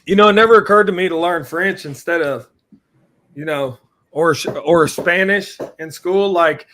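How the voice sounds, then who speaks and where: A man's voice: fairly high pitch, normal speed, a bit bright, slight background noise. A man from Louisiana with a Southern US accent, talking in a laid-back, rambling way on a casual podcast. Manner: laid-back, rambling, often says "you know what I mean". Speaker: co-host.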